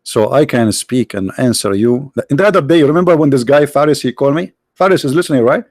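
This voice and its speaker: Host: man, mid-pitched, first language Arabic, mocking and halting delivery, dry close mic. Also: lively intonation, darkish tone, no echo. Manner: sarcastic, halting with many fillers, breaks into mock singing. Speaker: live-stream host. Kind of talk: mocking religious debate stream.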